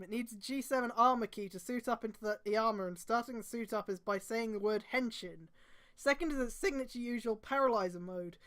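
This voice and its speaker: A woman's voice: fairly high pitch, a bit bright. A woman streamer reading fan fiction aloud, with sweary, exasperated commentary and emphatic delivery. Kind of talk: mocking read-aloud with casual commentary.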